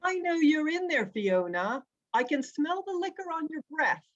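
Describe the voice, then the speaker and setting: A woman's voice: sits high, big pitch swings, normal speed. A woman playing a hostile, accusing visitor in a drama table read, on a dull recording. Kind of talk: screenplay table read acting. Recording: echoey, dull lo-fi audio.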